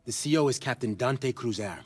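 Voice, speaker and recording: An actor playing a brisk, no-nonsense intelligence handler, matter-of-fact and colloquial, giving a video game mission briefing in a husky voice. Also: male voice, mid-range voice, lively intonation, darkish tone. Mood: businesslike.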